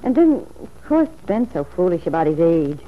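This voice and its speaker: A woman's voice: lowish voice, sing-song, normal speed. An actress playing a troubled, wavering wife who plots with her lover in a radio drama, earnest and hesitant. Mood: concerned. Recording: tinny, noisy old radio recording.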